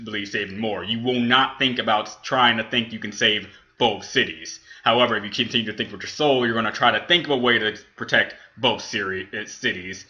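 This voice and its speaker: A man's voice: on the low side, pushed and bright, muffled recording. A man giving casual off-the-cuff reaction commentary, rambling and stumbling over his words as he thinks aloud. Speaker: reaction video host.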